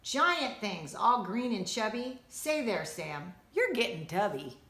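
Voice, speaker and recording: A woman voicing a jolly, bossy, energetic male handyman in a storybook, chirpy and coaxing. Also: medium pitch, takes it slowly. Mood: teasing. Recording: roomy, echoing indoor sound.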